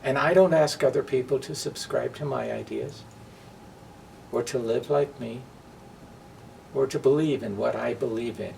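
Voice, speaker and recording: A man recorded at a distance from the mic over faint noise, speaking as a storytime host. His voice is creaky and he takes his time, addressing viewers in an earnest, emphatic way. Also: medium pitch, normal speed, pauses often, darkish tone. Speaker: storybook reader. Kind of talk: read-aloud storytime and personal address to viewers.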